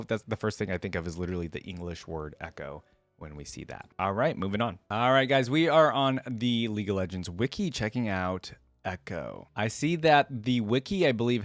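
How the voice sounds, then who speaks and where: A man's voice: mid-range voice, no echo. A man hosting a casual reaction video, chatting informally to viewers and reading aloud as he goes. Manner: informal, addresses viewers directly as "guys". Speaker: video host, gaming fan reactor.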